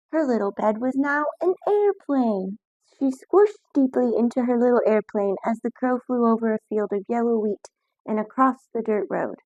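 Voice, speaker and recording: A woman reading a children's picture book aloud, lively and expressive, with voices for the characters. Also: fairly high pitch, no room sound, poor audio. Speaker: storyteller.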